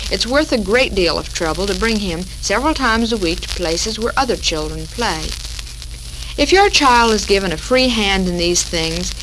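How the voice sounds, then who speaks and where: An American woman hosting a scripted 1940s women's radio talk, reading in a measured, formal way. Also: medium pitch. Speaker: radio show host. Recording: noisy crackling 1940s radio recording.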